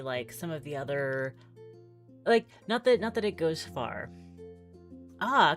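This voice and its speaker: A woman game streamer chatting casually as she plays, halting and thinking aloud. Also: on the low side. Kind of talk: casual livestream chat while playing visual novels.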